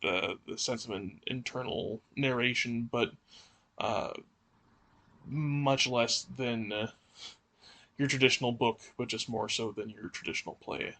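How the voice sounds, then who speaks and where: Gravelly, bright-voiced man, hesitant. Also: medium pitch, unhurried. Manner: hesitant, searching for words. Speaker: podcast co-host. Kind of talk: casual discussion.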